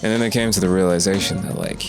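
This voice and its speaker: A man hosting a casual lore video, his voice a bit croaky from a cold, talking in a laid-back, rambling way with jokey asides. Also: low voice, fairly even intonation, quick pace, over music.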